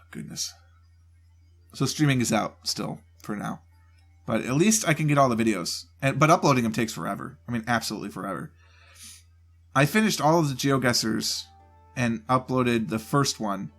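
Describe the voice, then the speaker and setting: A man's voice: medium pitch, lively intonation, normal speed, pauses often, a bit bright, faint music behind. Stuffed-up man rambling through an update. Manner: rambling, loose, self-interrupting. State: stuffed up with a cold. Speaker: gaming channel host. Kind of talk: casual solo channel update. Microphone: home desk mic, voice only.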